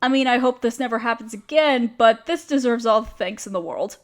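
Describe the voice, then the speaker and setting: A woman's voice: fairly high pitch, fairly quick, natural voice. A woman playing an overworked, apologetic young woman confiding hesitantly in a close friend in an intimate audio roleplay. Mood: grateful. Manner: self-deprecating, hesitant, casual. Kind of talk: roleplay audio drama, intimate one-sided conversation.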